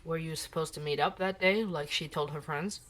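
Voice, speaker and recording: Low-voiced probing woman journalist. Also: steady tone, a little fast, fairly bright tone, poor audio. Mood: probing, composed. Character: probing, composed young investigative journalist doing interviews. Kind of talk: audio drama acting as podcast interview.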